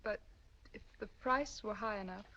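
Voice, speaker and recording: An actress playing a poised, charming society woman, polished and quietly probing, in 1940s film style. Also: mid-range voice, normal speed, slight background noise. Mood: probing, cool. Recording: muffled old film soundtrack.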